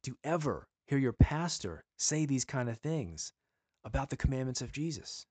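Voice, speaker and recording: A man giving an earnest sermon-style teaching, asking his listeners pleading rhetorical questions and slowing down to stress key words. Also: medium pitch, very animated, darkish tone, breathy edge, dry recording.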